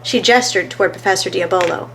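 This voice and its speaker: A woman's voice: fairly low pitch, quick pace, creaky, fairly bright tone, a little hiss or hum, low-quality recording. A woman reading scripted narration of a children's story as a storyteller.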